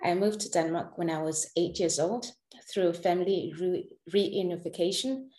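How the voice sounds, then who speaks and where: A woman in her thirties from Uganda introducing herself as a guest, direct and warm. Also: lowish voice, moderate pace, echoey room. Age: 30s. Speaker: guest, pedagogue. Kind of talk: self-introduction in a talk.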